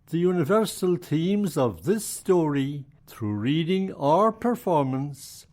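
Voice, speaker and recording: An Irish man giving a measured, formal introduction to a radio play. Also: fairly high pitch, darkish tone. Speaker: presenter.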